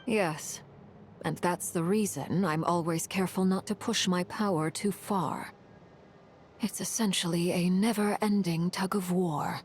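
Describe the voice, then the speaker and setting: A woman playing a composed, commanding harbinger of cursed fire in a video game, speaking in a measured, solemn, formal way. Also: fairly low pitch, steady tone, a little hiss or hum, lightly acted. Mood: measured, explanatory. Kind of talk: dramatic video game voice acting.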